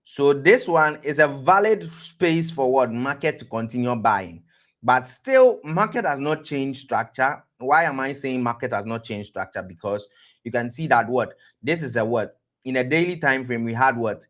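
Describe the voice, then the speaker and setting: A man with a West African accent hosting a live-streamed trading lesson, pausing to ask "what?" and answering himself, often repeating words as he goes. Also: medium pitch, animated, a bit bright. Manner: quizzes listeners with rhetorical "what?" prompts; repeats words and restarts phrases. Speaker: trading educator, stream host.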